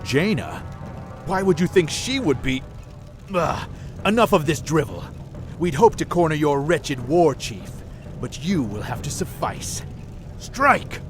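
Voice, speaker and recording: A sneering, haughty human assassin. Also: male voice, on the high side, very animated, a little slow, soft background music. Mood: scornful. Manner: haughty and contemptuous. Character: sneering male human assassin posing as an envoy. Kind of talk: video game cutscene acting.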